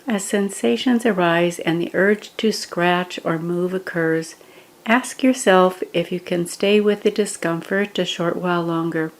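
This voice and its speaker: A woman leading a guided meditation, giving scripted instructions in a gentle, measured manner with pauses. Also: fairly low pitch.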